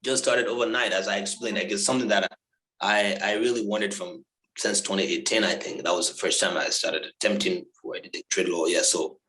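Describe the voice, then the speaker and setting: Halting young man on an echoey call. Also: low-pitched, fairly even intonation, sharp tone. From Liberia. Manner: hesitant, many fillers and repeated words. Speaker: interviewee, law graduate. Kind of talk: casual online interview. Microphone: video call. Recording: echoey room over video call.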